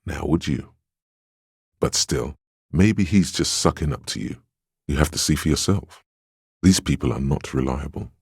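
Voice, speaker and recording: A man playing a stern, weary, protective inner voice of a detective in a video game, with measured, grave, dryly sardonic delivery. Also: darkish tone, dry recording, clean studio sound, full character voice. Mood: measured, wary. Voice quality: very deep, smooth, resonant. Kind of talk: scripted game dialogue and narration.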